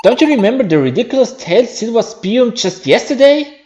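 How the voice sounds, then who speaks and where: Loud, jeering schoolkid bully acted by a gamer. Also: medium pitch, sing-song, a little fast, slight background noise, pitched above the performer's own voice, close to an everyday voice. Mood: mocking scorn. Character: scornful, mocking young schoolkid bully Pokemon.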